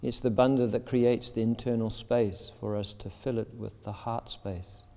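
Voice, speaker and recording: A man leading a guided meditation as a yoga teacher, speaking soothingly and deliberately in short phrases with pauses. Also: medium pitch, fairly even intonation, creaky, dark tone. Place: yoga shala with a seated group. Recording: long reverb of a large hall.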